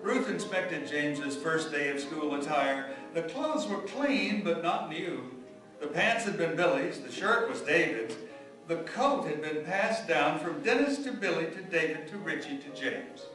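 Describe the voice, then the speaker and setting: A man playing the narrator of a family story in a scripted radio drama, telling it in a measured, deliberate way. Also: on the high side, expressive, a bit bright, soft background music. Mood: measured, wry. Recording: echoey, distant microphone.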